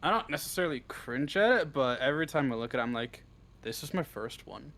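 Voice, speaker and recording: A man chatting casually as an interview guest, halting and self-deprecating, with casual swearing. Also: medium pitch, expressive, fairly bright tone. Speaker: interview guest, script writer. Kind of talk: casual chat.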